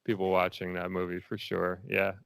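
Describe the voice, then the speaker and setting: A man's voice: deep voice, completely flat delivery, normal speed, creaky, a bit bright, clear, resonant tone, no echo. A man speaking casually as an interview guest, a filmmaker who often repeats phrases as he builds his thoughts.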